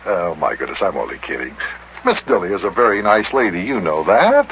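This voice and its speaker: A man's voice: sits low, very animated, brisk. A man acting a good-natured, patient guardian in an old-time radio children's show, folksy and full of exclamations. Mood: gently scolding. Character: good-natured, scolding grown-up guardian of a boy. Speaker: host and lead actor of children's radio show. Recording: muffled, hissy vintage radio recording.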